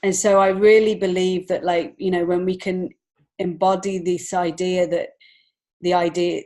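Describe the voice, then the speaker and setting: Lower-pitched woman, reflective and earnest. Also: darkish tone. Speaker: podcast guest, children's author and illustrator. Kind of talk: reflective conversational interview.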